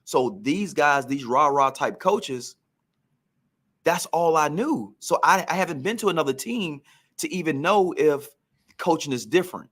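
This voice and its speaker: A man's voice: on the high side, animated, a little slow, a bit bright. A man, a former pro football receiver, telling career stories on a podcast in a reflective, emphatic way.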